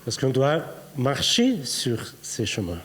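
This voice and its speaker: Brazilian man preaching slowly in short bursts. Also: mid-range voice, huge pitch swings, slight background noise. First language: Portuguese. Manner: speaks in short phrases with pauses for the interpreter; playful, reads tongue twisters aloud. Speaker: guest preacher. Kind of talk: sermon.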